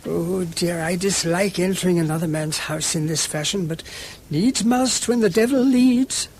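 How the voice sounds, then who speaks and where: A man with a British accent playing a gentle, inquisitive priest-detective in a radio drama, musing aloud politely and a little hesitantly. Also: high-pitched, moderate pace, slight background noise. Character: gentle, inquisitive, mild-mannered English priest-detective, male.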